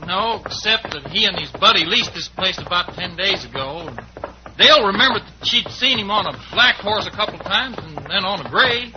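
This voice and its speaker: High voiced folksy cowboy sidekick, old western radio show, dull sound. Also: male voice, expressive, normal speed. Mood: chatty, concerned. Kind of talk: old-time western radio drama acting. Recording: muffled, hissy vintage radio broadcast.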